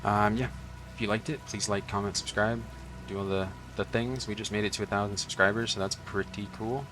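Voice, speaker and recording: A man's voice: fairly low pitch, steady tone, a little fast, over music. A man speaking informally as a channel host, in a laid-back, casual outro style. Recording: clearly noisy background ambience.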